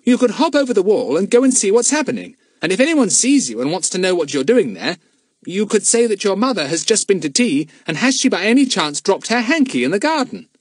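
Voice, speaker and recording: A man's voice: high-pitched, sing-song, a little fast, husky, no room sound, voice pushed up, heavily acted. A man voicing a clever, impatient boy who bosses his friends. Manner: impatient, bossy.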